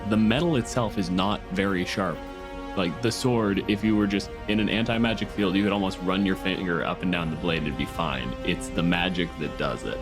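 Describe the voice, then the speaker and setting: A man's voice: sits low, brisk. A man acting as game master, narrating and refereeing a tabletop game in conversational speech. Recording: music playing under the voice.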